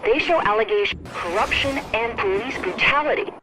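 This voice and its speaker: An actor playing a serious, formal male news editorialist, delivering a measured scripted commentary. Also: high voice, natural voice, poor audio.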